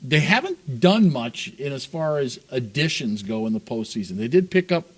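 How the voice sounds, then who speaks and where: A man's voice: mid-range voice, big pitch swings, moderate pace, husky. A man co-hosting a sports talk show, asking skeptical questions in casual banter.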